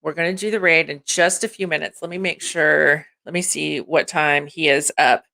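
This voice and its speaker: Deep husky-voiced woman streamer. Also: steady tone, moderate pace, pushed and bright. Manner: chatty, swears casually, thanks viewers by name. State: congested from allergies. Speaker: streamer host. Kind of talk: casual livestream chat.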